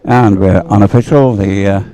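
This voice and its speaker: A man giving live sports commentary on boat racing, speaking in a hesitant, unhurried, explanatory way. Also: lowish voice, warm tone. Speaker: race commentator.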